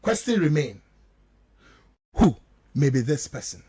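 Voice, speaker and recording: A Ghanaian man with a high voice and very lively intonation gives impassioned political commentary in a dry, close studio sound. His native Twi colours his speech, and he hammers points home with repeated rhetorical questions. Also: a little slow, husky, no room sound.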